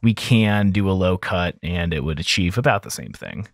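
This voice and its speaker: Low-pitched man, casual audio tutorial. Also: animated, moderate pace, vocal fry, no room sound, studio quality. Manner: informal, conversational, thinking aloud. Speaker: audio engineer giving a mixing tutorial. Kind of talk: casual software tutorial voice-over.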